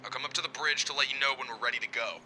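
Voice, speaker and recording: A man's voice: mid-range voice, racing, pushed and bright, a little hiss or hum, low-quality recording. A man playing an authoritative Marine captain in an audio drama. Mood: urgent, commanding. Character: authoritative male Marine captain giving brisk orders.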